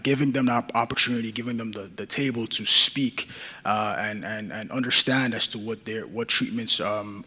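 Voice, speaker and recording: Earnest young man, bright voice. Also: fairly low pitch, husky. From Nigeria. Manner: earnest, many restarts and fillers. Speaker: patient advocate speaker. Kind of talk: conference talk.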